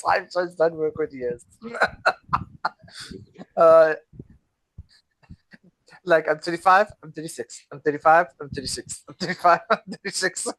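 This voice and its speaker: Male podcast co-host, 30s, raised pitch, chatty and giggly, stop-start delivery, dry room. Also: animated, fairly bright tone, no room sound, low-quality recording. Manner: laughs often, halting restarts. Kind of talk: casual chat over a video call. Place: at home in South Africa.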